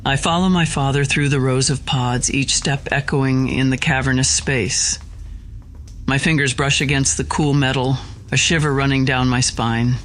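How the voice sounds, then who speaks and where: A man narrating an audio drama in the first person as an awed, anxious young heir, in a measured dramatic way. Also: mid-range voice, steady tone, a bit bright, a little hiss or hum, natural voice.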